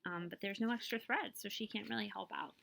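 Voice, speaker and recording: A woman librarian reading a picture book aloud to children, with expressive storytelling and explanatory asides and questions for her listeners. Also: mid-range voice, creaky. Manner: expressive storytelling, pausing to check understanding with listeners.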